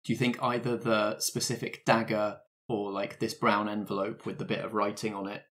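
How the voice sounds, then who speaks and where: A man's voice: fairly low pitch, little pitch movement, normal speed, a bit bright. A man casually moderating and narrating a tabletop role-playing game as game master.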